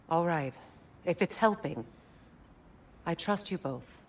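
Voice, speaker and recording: A woman's voice: lowish voice, a little hiss or hum, exaggerated voice. A woman playing a concerned, sceptical ally in a video game, direct and questioning. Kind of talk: dramatic video game acting.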